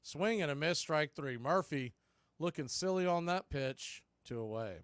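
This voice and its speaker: A man's voice: expressive, unhurried, fairly bright tone, clear, resonant tone, no room sound. Dry-sounding man calling baseball play-by-play. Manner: clipped pitch calls mixed with conversational asides. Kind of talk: live sports play-by-play commentary with scoreboard asides.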